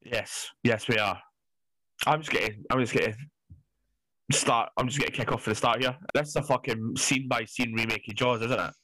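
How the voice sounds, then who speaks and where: A man's voice: mid-range voice, a little fast, pushed and bright, no room sound, rough recording. A man in his forties co-hosting a film podcast, ranting bluntly and sarcastically with plenty of swearing. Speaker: podcast co-host. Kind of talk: casual chat.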